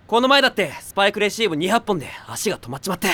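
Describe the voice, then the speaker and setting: 甲高く早口の熱血男子高校生. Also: male voice, high voice, very animated, very fast, full character voice. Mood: earnest. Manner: loud, emphatic, rough boyish slang. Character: hot-blooded, earnest, boisterous high-school boy athlete. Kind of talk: anime audio drama acting.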